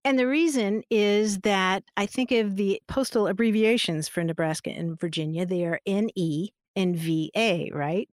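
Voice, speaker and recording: A middle-aged woman explaining grammar rules in a relaxed, friendly way, laughing easily as she talks. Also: mid-range voice, normal speed, hardly any pauses, no room sound, studio quality. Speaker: grammar expert guest. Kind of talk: conversational explanation on a podcast.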